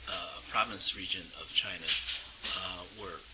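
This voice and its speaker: A man interviewed as an expert in a documentary, speaking haltingly with many fillers and trailing into a near-whisper. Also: low-pitched, steady tone. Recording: noisy interview audio.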